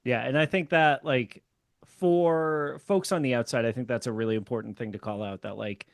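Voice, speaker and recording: Chatty podcast host, dry sound. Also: male voice, medium pitch, moderate pace, no room sound. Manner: relaxed, chatty, many fillers like "like". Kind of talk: casual podcast chat.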